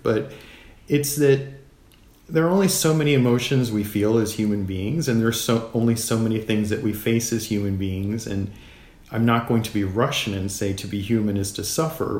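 A middle-aged man talks reflectively as a guest in a literary conversation. His mid-pitched voice carries a noticeable room echo, and his delivery is measured and philosophical. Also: a little mellow. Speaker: guest, writer. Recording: roomy echo.

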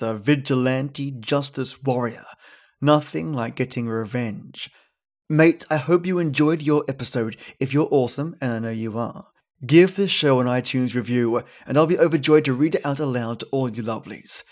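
Theatrical male podcast host. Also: mid-range voice. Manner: dramatic, storytelling pauses. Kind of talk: theatrical scripted narration and listener thanks.

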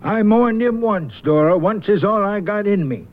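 A man's voice: sits high, moderate pace, natural voice. An actor playing a bitter, aggrieved middle-aged father in a 1970s radio drama, speaking in weary, folksy phrases. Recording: muffled old radio broadcast.

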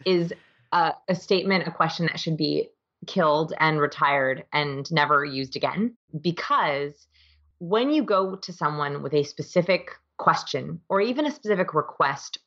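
Female interview guest, low voice, explains things emphatically in a conversational way. Slightly muffled, lo-fi sound. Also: a bit bright. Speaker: interview guest, author and behavior researcher.

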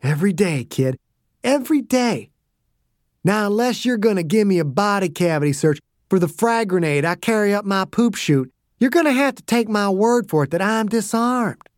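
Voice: mid-range voice, animated, moderate pace, no room sound, studio quality, pitched above the performer's own voice, natural voice. A reader voicing a tough, older male fighter who goads his opponent with a sneer. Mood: mocking. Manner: sneering, goading. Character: taunting tough older man, ex-soldier type. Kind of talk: audiobook character voice.

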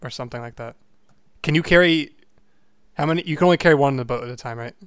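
A man talks through a riddle with his friends, thinking out loud, backtracking and swearing casually. His voice is smooth and clear, recorded dry and close. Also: mid-range voice, quick pace, dry recording. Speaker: co-player. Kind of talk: casual chat over a game.

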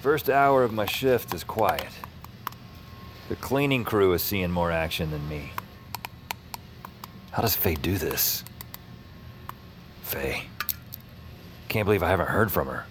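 A man's voice: deep voice, animated, takes it slowly, long pauses, background noise. An actor playing a wry, scrappy night-shift dispatcher who narrates his own noir audio drama in short, wisecracking bursts. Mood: wry, weary. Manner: wisecracking, clipped sentences. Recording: loud music bed. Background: loud music and sound effects.

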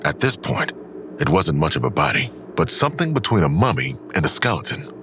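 An actor playing a grim, detached narrator, reading scripted audio drama narration. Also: male voice, a bass voice, big pitch swings, brisk, over music, full character voice. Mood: grim detachment.

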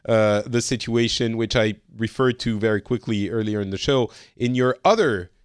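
A man hosting a casual podcast, steering the talk with quick questions. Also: lowish voice, clean tone, no room sound, studio quality. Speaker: podcast host. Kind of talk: casual current-affairs chat.

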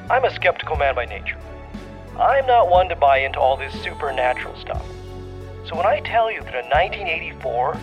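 A man's voice: on the high side, close to an everyday voice. A man playing a solemn, insistent older skeptic calling in to an audio drama. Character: self-serious skeptical older man confessing the supernatural. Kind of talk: audio drama caller monologue. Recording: muffled radio-like call, music behind.

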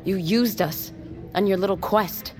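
A woman's voice: medium pitch, a little slow, music in the background, lightly acted. An actress playing a distrustful, wounded woman on an expedition, accusing and emotional, in an audio drama. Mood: betrayed, accusing. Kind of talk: audio fiction drama acting.

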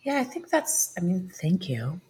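A woman's voice: fairly low pitch, sing-song, unhurried, darkish tone, breathy edge. A woman in her thirties, a stage actress speaking as a podcast guest, thoughtful and searching, with many fillers. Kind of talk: casual podcast interview.

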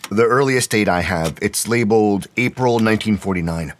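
A man's voice: on the low side, moderate pace, slight background noise, voice pushed up, close to an everyday voice. A man voicing an eager, slightly sheepish male technician with a mild, obliging manner in an audiobook story.

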